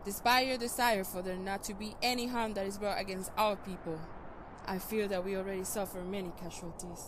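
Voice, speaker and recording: A woman's voice: medium pitch, steady tone, fairly quick, lightly acted. A woman voicing a composed, reproachful elven sister who speaks with poise. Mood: resolute. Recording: background noise.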